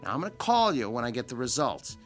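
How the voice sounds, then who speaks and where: An actor playing a stern, concerned doctor in a radio drama, speaking firmly and emphatically. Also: male voice, mid-range voice, sing-song, fast talker, fairly bright tone, soft background music. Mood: firm, admonishing. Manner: firm, emphatic, admonishing. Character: stern, concerned male doctor lecturing a patient.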